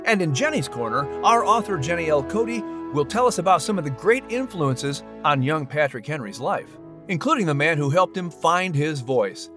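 Male announcer, scripted podcast intro, slightly high and lively, prominent music behind. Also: moderate pace.

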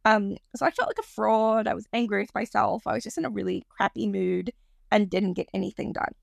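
A woman in her thirties hosting a podcast, talking casually on her own with a croaky voice, rambling and apologizing for her digressions. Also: medium pitch, fairly even intonation, brisk, no echo, clean studio sound. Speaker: podcast host. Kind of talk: casual solo podcast monologue.

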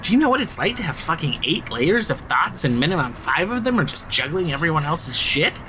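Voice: medium pitch, big pitch swings, brisk, bright, forceful tone, a little hiss or hum, rough recording. An actor playing a jaded, bossy, sardonic insider of a crime family in a modern audio drama. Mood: giddy, exasperated. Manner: sardonic, sweary, commanding.

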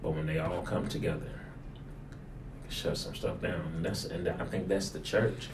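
A man with a low voice speaks as a pastor in relaxed conversation. He repeats his phrases to drive points home. The recording has a roomy echo and some background noise. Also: normal speed. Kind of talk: casual conversational discussion of a sermon.

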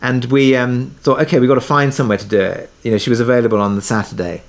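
A man's voice: medium pitch, fairly even intonation, quick pace. A man talking casually as an interview guest, telling production anecdotes in a conversational way and thinking aloud as he goes.

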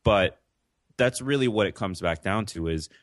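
Young jamaica-born guy, super low voice, thoughtful, keeps restarting, dry sound. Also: moderate pace, dry recording. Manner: earnest, reflective, with frequent restarts. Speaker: interview guest. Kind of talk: conversational interview.

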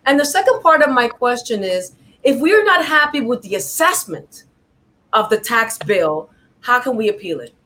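A woman's voice: on the high side, very animated, normal speed, pushed and bright, slight background noise. A woman from New York hosting a talk show, interviewing a guest in an upbeat, friendly, chatty way.

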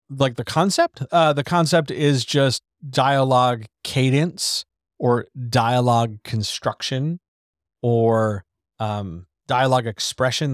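A man hosting a casual writers' chat, explaining craft in a loose, conversational way full of asides and fillers. His voice sits at an everyday middle pitch. The sound is dry and close, with no room around it. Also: no room sound. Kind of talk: casual live-stream teaching chat.

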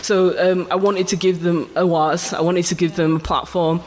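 High-voiced man, lively storyteller. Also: moderate pace. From England. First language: Urdu. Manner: animated, laughing storyteller. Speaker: photographer guest. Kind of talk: live stage conversation. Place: arts venue stage before a live audience. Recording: live hall reverb with faint ambience.

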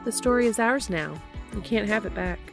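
A woman hosting and narrating fan fiction in a scripted, expressive storytelling read. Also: medium pitch, normal speed, over music. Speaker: podcast host and reader.

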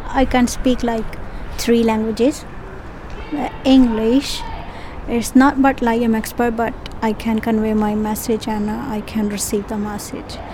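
A woman with a Pakistani accent and Punjabi mother tongue, chatting casually in halting, simple non-native English phrasing. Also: a little high, little pitch movement. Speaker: corner shop keeper. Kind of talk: casual conversational interview. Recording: noisy ambience with vehicle sounds.

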